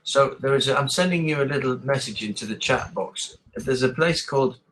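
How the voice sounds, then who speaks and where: English man, online language tutor. Encouraging, conversational, mid-pitched, circles back over his advice. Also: fairly quick, fairly bright tone, a little hiss or hum, rough recording. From England. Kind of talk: casual one-to-one lesson chat. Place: online video call.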